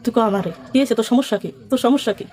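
A young woman speaks in a high voice at a rapid clip. She tells her own story in a rambling, pleading way, often quoting conversations. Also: darkish tone. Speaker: complainant being interviewed. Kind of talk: spontaneous personal account.

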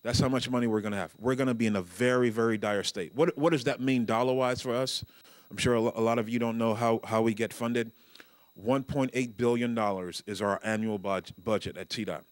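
A man's voice: medium pitch, fairly even intonation, fairly quick, no echo. A middle-aged man from Nigeria giving a conversational keynote speech in a conference room on a handheld mic, with many fillers, restarts and joking asides. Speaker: keynote speaker, state transportation official. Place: large conference room with seated audience. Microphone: handheld microphone.

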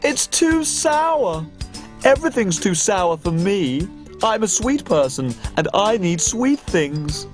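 A man voicing a fussy, sweet-toothed queen in a children's TV story, with haughty, drawn-out exclamations. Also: high-pitched, very animated, soft background music, pitched below the performer's own voice, exaggerated voice.

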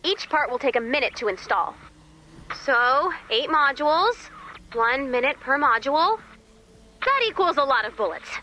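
A woman voicing an excitable, scatterbrained female robot assistant in a video game, babbling cheerfully and awkwardly. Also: sits high, normal speed, slight background noise, low-quality recording. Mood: informative, chipper. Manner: chirpy, babbling, repeats words, awkward. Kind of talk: video game character dialogue.

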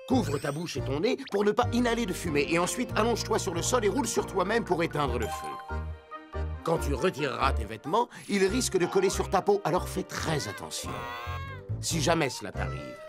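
A performer voicing a caring rescue robot, giving clear, reassuring safety instructions in a children's cartoon. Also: medium pitch. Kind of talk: animated safety lesson for children.

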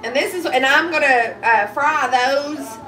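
A woman's voice: high voice, measured pace, a crowd in the background. A woman in her thirties with a head cold and a congested voice, chatting rambly as she cooks in her home kitchen. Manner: rambling, chatty, talks while chopping. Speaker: vlogger cooking at home. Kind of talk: casual cooking vlog chat. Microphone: phone camera set across the counter. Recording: distant phone mic with clear background noise.

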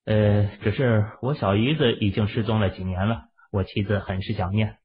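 A man's voice: fairly low pitch, steady tone, moderate pace, husky. A man voicing a polite, wry young male hero who talks evasively. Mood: reluctant, evasive. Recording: muffled, phone-like narrow sound.

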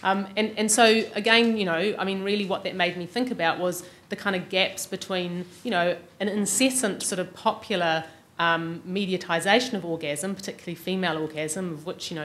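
A woman's voice: fairly low pitch, a bit bright. A middle-aged woman with an Australasian accent answering questions as an academic speaker, thinking aloud and qualifying as she goes. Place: large university lecture room. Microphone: lectern microphone. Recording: clearly noisy lecture room recording.

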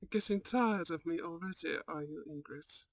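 A woman's voice: lowish voice, normal speed, dry recording. A woman voicing a suave, haughty but kind young male lord with formal, self-assured speech in an ASMR audio roleplay. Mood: playful.

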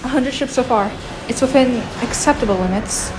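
An actress playing a brisk female starship officer giving military reports in a sci-fi audio drama. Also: fairly high pitch, over music, close to an everyday voice, low-quality recording. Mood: brisk, focused.

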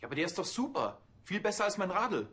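An eager, naive male junior policeman in a TV crime comedy. His voice is high and rapid, excitable and ready to protest. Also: breathy edge, full character voice.

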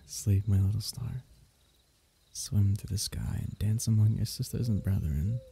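A man voicing a gentle, loving royal diviner, telling a bedtime myth as ASMR in a tender, archaic, unhurried, husky way. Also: on the low side, heavily acted. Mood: soothing, loving. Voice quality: husky, gravelly. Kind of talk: ASMR bedtime story roleplay.

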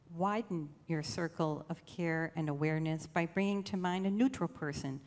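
A woman leading a guided meditation in a slow, gentle, soothing way with long pauses. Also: lowish voice. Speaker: church meditation leader. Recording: long reverb of a large room.

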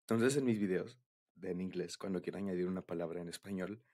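Young male, Mexican accent, deep and breathy, for shy, intimate boyfriend-style ASMR talk. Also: fast talker, mellow. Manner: bashful, hesitant, giggly. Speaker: ASMR roleplay creator.

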